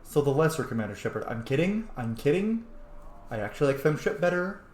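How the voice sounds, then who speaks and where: Man rambling through game commentary, very animated pitch. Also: fairly quick. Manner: rambling, thinking aloud. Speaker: gamer roleplaying a video game character. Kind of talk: casual let's play commentary. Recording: roomy echo, faint background noise. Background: video game ambience.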